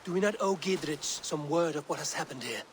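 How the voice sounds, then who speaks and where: A man playing a blunt, skeptical Viking warrior in a video game, with a terse, measured delivery. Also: a little high, a little hiss or hum, close to an everyday voice. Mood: skeptical, frustrated. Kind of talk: dramatic video game dialogue.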